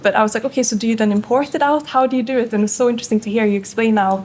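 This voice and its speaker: A woman's voice: a little high, rapid-fire, a little mellow. A woman on a panel, speaking enthusiastically. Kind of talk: panel discussion.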